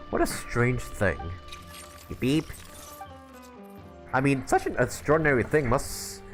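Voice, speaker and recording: A man voicing a pampered, grumbling researcher in a video game, fussy and easily flustered. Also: mid-range voice. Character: pampered, grumbling male scholar, fussy and nervous.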